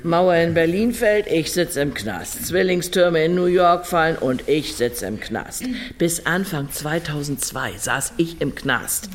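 A woman's voice: low-pitched, unhurried, slight background noise. An actress playing a middle-aged, bitter, foul-mouthed ex-convict, telling her story bluntly and sardonically in a radio drama monologue. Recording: faint music underneath.

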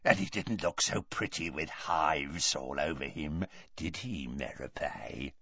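A man voicing a mad, vicious grown son in a storybook reading, hissing drawn-out, ruthless taunts. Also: extremely low pitch, pushed and bright, breathy edge, no echo, lo-fi sound, voice pushed down, exaggerated voice. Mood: gloating.

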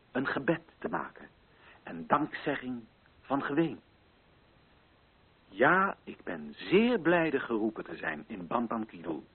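A man playing an earnest colonial official who addresses local chiefs in solemn, measured, theatrical oratory, as in an old radio drama. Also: mid-range voice, husky, full character voice. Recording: muffled lo-fi old radio recording.

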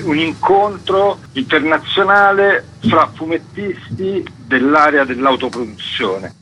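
A man's voice: on the high side, sharp tone, a little hiss or hum, low-quality recording. A man speaking as a festival curator and guest in a conversational radio interview, explaining things in a discursive way.

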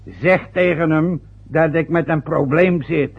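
A proud, aggrieved older father who grumbles and lectures in a husky, gravelly voice, pitched a little high. The old radio-play recording sounds dull and lo-fi. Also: a little slow. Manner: aggrieved, lecturing, rambling with hesitations. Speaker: father of a newly married son. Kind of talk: vintage radio play acting.

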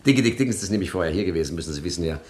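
An actor playing a cool, wry gangster in a comic radio drama, dry and sardonic as he smooth-talks and bluffs. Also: deep voice, lively intonation, very fast, a little mellow. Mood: smooth bluffing.

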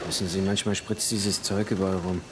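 A man's voice: sits low, level tone, fairly quick, noisy background, lightly acted. An actor playing a cornered, foul-mouthed working man suspected of murder in a German radio crime drama. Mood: evasive, nervous.

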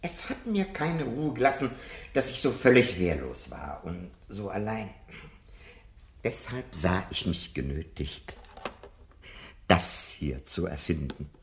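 An actor playing a timid, anxious old literature professor in a 1970s German radio play. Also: male voice, low voice, sing-song, takes it slowly, slight background noise, exaggerated voice. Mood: confiding. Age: older.

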